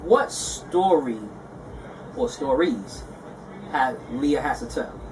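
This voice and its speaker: A man's voice: mid-range voice, lively intonation, takes it slowly, recorded from a distance, noisy background. A man from Brooklyn, hosting a casual interview in a playful, teasing way.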